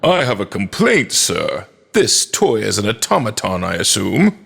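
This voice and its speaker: Man as a cold, gravelly police inspector. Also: fairly low pitch, big pitch swings, unhurried, a bit bright, heavily acted. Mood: officious. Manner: officious, formal. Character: male police inspector, cold, menacing, officious father.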